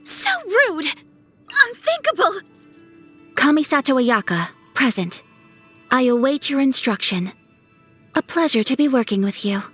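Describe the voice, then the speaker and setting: A woman's voice: high-pitched, very animated, a little slow, pauses often, soft background music, heavily acted. A woman voicing a refined, dutiful young noblewoman swordswoman from a video game, formal, poised and reserved in manner. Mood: battle cries, then courteous. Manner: formal, poised, polite, softly earnest. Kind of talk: video game character voice lines.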